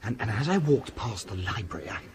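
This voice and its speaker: Nervy, brooding gentleman, lively intonation. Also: on the low side, brisk, noisy background. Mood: nervous, hesitant. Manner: agitated, emphatic, formal. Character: nervy, brooding gentleman, gloomy heir of a haunted house. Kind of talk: radio drama acting.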